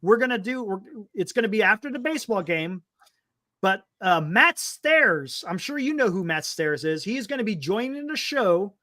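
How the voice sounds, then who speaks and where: Animated, emphatic man in his fifties. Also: sits high, normal speed, no echo, studio quality. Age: 50s. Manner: emphatic, repeats himself, calls people "man". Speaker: sports talk show host. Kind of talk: casual live-stream sports chat.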